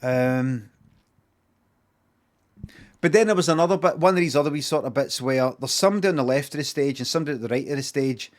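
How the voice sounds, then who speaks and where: A man's voice: medium pitch, fairly quick, long pauses. A Glaswegian Scottish man chatting casually on a livestream, rambling, swearing freely and repeating himself for emphasis.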